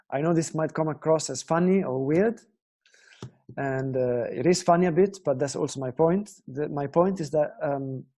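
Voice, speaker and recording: A man's voice: on the high side, mellow. A young man from Italy with an Italian accent, teaching guitar in an earnest, explanatory way and often asking viewers questions. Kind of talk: online guitar lesson talk.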